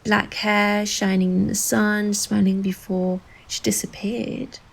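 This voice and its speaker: A playful, thoughtful young woman, voiced by an actress in a bedtime audio drama. Her voice is husky with a creaky edge, and she speaks slowly and intimately over soft guitar music. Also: mid-range voice, flat delivery, heavily acted, poor audio. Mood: wondering, tentative.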